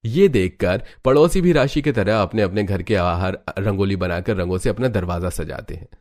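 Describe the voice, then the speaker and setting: A man's voice: low-pitched, moderate pace, darkish tone. A man delivering scripted story narration for a cartoon.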